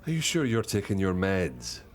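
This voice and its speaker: A man's voice: sits low, wildly swooping pitch, moderate pace. An actor playing a blunt, cheeky, matey young man in a radio soap. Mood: incredulous.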